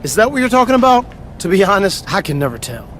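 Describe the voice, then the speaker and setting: Goofy bragging wrestler, highish voice, dim and full of himself, faint noise. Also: male voice, big pitch swings, fast talker, a bit bright. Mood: boastful, comic. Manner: bragging, refers to himself in third person. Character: boastful, clownish, dim-witted male wrestler. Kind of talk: comic video game cutscene acting.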